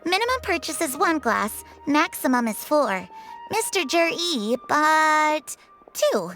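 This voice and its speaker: A woman's voice: sits high, sing-song, takes it slowly, a bit bright, faint music behind, heavily acted. A woman voicing a polite, businesslike young shopkeeper in a video game, with courteous sales patter.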